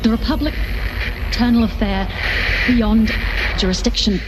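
A woman's voice: fairly high pitch, fairly even intonation, measured pace, background noise, natural voice. A woman playing an earnest noblewoman in an audio drama, pleading urgently with her brother.

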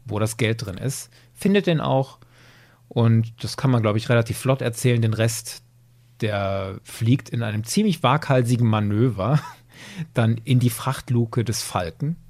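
Chatty man with a medium-pitched voice. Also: animated, measured pace, a little mellow. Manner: chatty, informal, checking in with tag questions. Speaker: podcast co-presenter. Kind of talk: casual podcast discussion, retelling a story.

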